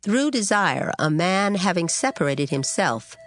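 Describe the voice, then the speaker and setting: A woman narrating scripture in a dramatized King James style, with solemn, measured, deliberate phrasing. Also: on the low side, sing-song. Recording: music under the voice. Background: background music.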